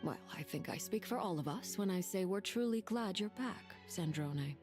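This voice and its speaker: A woman playing a composed, high-ranking lady in an anime-style game, polite, measured and formal. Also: expressive, darkish tone, faint music behind, lightly acted. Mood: gracious, composed. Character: poised, courteous, composed woman of high rank.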